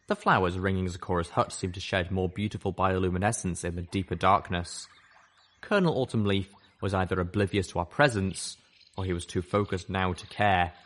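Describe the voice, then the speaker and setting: A man reading a story aloud as first-person narration, expressive and dramatic. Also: low voice, a little fast. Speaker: audiobook reader.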